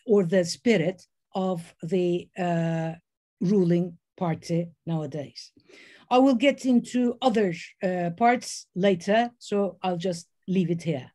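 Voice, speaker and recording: Woman on an academic panel, warm low tone, lots of uhs and restarts. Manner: measured, halting with frequent fillers and restarts. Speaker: panel discussant.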